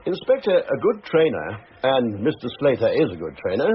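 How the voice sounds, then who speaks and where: Pompous brigadier with animated delivery. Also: male voice, mid-range voice, normal speed. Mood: stern, scornful. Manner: precise, pompous, commanding. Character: crisp, authoritative upper-class brigadier, male racing steward. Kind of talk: radio drama acting. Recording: slightly noisy, dull radio drama.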